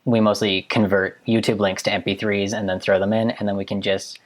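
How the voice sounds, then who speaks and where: A man's voice: fairly low pitch, flat delivery, a little fast. Rambling teenage guy, full of "like". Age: late teens. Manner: rambling, heavy with filler "like". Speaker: high-school student, production assistant. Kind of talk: casual chat.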